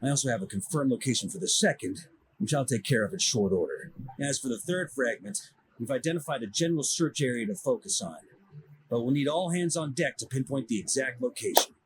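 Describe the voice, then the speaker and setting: An actor playing a seasoned, confident expedition commander in a fantasy game, speaking in an assured, measured way. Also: male voice, mid-range voice, expressive, natural voice. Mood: grave, assured. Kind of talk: fantasy video game dialogue acting.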